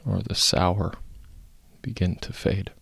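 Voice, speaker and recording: A man guiding a meditation in a calm, unhurried, soft-spoken way, with long pauses and a husky, gravelly voice. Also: low voice, dry recording. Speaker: guided meditation teacher. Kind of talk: guided meditation, slow instructional narration.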